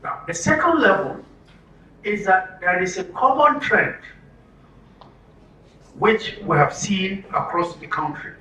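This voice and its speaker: Nigerian male trainer, emphatic and questioning, very sharp forceful tone, roomy distant recording. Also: high voice, very animated, takes it slowly, long pauses. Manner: emphatic, questioning the listeners with rhetorical tags. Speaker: speaker at a training session. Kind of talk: interactive lecture to an audience. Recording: roomy, distant mic with background noise.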